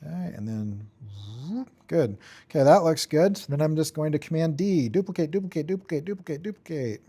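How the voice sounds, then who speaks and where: A man giving an informal software tutorial, thinking aloud in short, offhand fragments as he works. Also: fairly high pitch, animated, fairly quick. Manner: offhand, talks in short fragments while working. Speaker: tutorial instructor.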